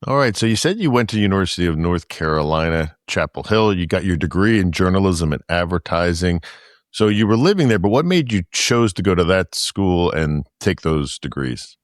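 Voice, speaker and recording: A middle-aged man co-hosting a podcast, asking brisk interview questions. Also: deep voice, expressive, no echo, clean studio sound. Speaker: podcast co-host. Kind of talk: interview, lightning-round questions.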